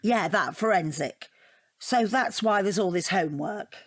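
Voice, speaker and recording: Rambling, self-deprecating divorced woman in audio drama; earnest, easily flustered, mid-pitched, dry sound. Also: animated, unhurried, dry recording. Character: chatty, self-deprecating divorced woman taking an art appreciation class. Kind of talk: naturalistic audio drama dialogue.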